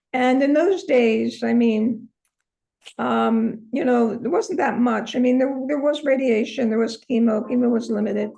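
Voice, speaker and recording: An older woman with a hoarse voice, telling her personal story as an interview guest. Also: on the high side, a little mellow, low-quality recording. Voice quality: hoarse, from a vocal cord injury. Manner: earnest storytelling, many ums. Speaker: interview guest, holistic practitioner.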